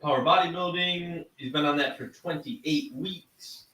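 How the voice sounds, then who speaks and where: Slow man reading check-ins from afar. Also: a little high, pushed and bright. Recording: echoey, distant from the mic.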